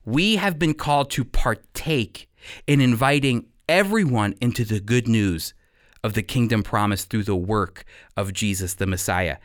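An American man hosting and teaching the Bible on radio in a prepared, deliberate and emphatic storytelling delivery, close on a studio microphone. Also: on the low side, no echo.

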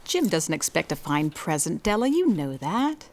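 An actress playing a kindly, practical neighbor in a radio drama, soothing and gently reasoning with a worried friend. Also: female voice, low-pitched, sing-song, moderate pace. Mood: consoling. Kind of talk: stage-style radio drama acting.

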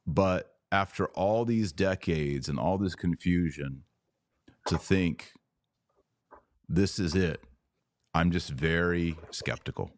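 A man's voice: deep voice, takes it slowly, long pauses, no echo. A man hosting a conversational religious debate podcast, arguing emphatically with hypothetical examples. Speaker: podcast host.

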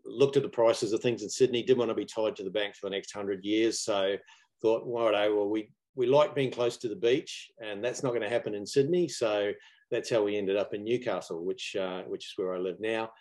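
Kiwi guy, middle aged, slightly low voice, laid back and self deprecating, casual halting chat. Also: fairly even intonation, a little mellow, poor audio. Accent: New Zealand. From New Zealand. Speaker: interview guest, property investor student. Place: live video call online.

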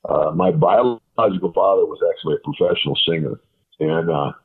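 A man with a very deep, husky voice tells long, rambling stories as an interview guest, full of fillers and quoted dialogue. He comes through a narrow, tinny phone line. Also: brisk. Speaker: guest, retired wrestler. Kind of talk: casual storytelling interview.

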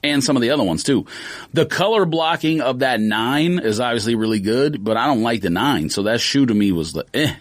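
A man's voice: medium pitch, lively intonation, a little fast, dry recording, studio quality. A man co-hosting a sneaker podcast, talking casually and informally as he explains his opinions. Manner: informal, slangy.

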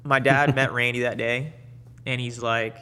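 A man from Puerto Rico, guesting on a podcast, recounting his past in a relaxed, easygoing way. His voice sits mid-range, with a long room reverb behind it. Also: medium pitch, moderate pace, clear, resonant tone. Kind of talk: casual interview storytelling. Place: studio.

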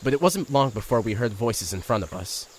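Wry man narrating with lively pitch. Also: a little fast, slight background noise. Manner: earnest, wry first-person narration. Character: nervous young radio reporter narrating. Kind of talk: audio drama narration and dialogue.

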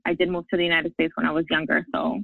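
Woman guest from Puerto Rico, upbeat, lowish voice, dry tinny call audio. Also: little pitch movement, racing, creaky, dry recording. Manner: upbeat, laughs often, reflective. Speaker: podcast guest, life coach. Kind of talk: casual interview answers. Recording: tinny phone-like line.

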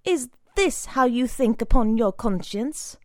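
A woman voicing a harsh male religious brother who scolds loudly and commands. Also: on the high side, lively intonation, a little slow, no room sound, studio quality, voice pushed up. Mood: scolding.

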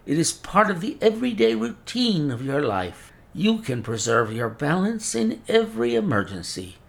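A man's voice: a little high, big pitch swings, normal speed, vocal fry, pitched above the performer's own voice. Man doing a mincing old invalid professor. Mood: lamenting. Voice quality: mincing, precise speech. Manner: effusive, lamenting. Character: frail old male scholar, chain-smoking invalid.

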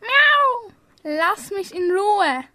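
A woman's voice: very high voice, sing-song, very slow, noisy background, exaggerated voice. A young female performer voicing a lazy, grumpy tomcat in a children's amateur radio play. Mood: annoyed.

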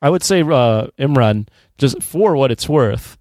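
A man co-hosting a casual film discussion, making his points in a measured, slightly hesitant way. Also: medium pitch, lively intonation, darkish tone, no room sound, clean studio sound. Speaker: podcast co-host.

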